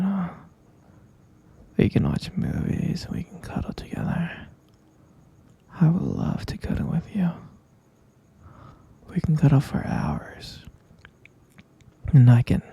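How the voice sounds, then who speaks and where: A man playing an obsessive, possessive lovesick kidnapper boyfriend in an ASMR roleplay, cooing and coaxing insistently in a breathy, husky whisper. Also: a little high, warm tone, full character voice.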